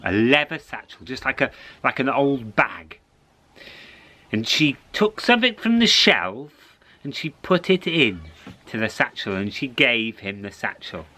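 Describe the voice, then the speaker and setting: Animated male children's storyteller. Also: medium pitch. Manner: dramatic, encouraging, repeats phrases for suspense.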